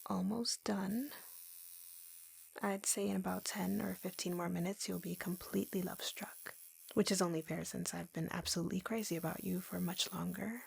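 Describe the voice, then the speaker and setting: A woman playing an obsessively lovesick young tattoo artist in an intimate roleplay audio, whispering gently with sweet, possessive menace. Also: fairly low pitch, steady tone, normal speed, exaggerated voice, poor audio. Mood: teasing, coaxing. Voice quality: breathy, whispery, creaky.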